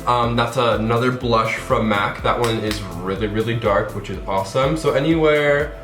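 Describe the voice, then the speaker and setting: A man's voice: medium pitch, moderate pace, a bit bright, soft background music. A young man with a stuffed-up nose from a cold, chatting casually through a makeup tutorial with lots of fillers. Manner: chatty, rambling, many fillers. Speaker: makeup vlogger. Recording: roomy echo.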